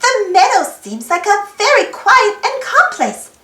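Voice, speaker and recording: A woman reading a children's storybook aloud in an expressive, sing-song way, putting simple questions to young listeners. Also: very high-pitched, a little slow, roomy sound. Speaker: storybook reader. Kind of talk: children's read-aloud narration.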